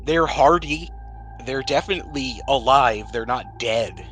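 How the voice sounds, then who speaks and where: A man's voice: mid-range voice, a little slow, fairly bright tone, soft background music. Man casually running a tabletop game. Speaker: game master.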